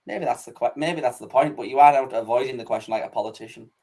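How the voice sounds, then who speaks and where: A male host of a live online talk show, with a mid-pitched voice. He moves the chat along with teasing banter and jokes at his guest. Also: fast talker, mellow, slightly rough. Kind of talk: casual live interview.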